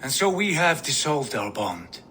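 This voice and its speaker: An actor playing an earnest, gentle male viking jarl in a video game, speaking slowly and sincerely. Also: medium pitch, very animated, a little hiss or hum. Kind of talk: video game cutscene acting.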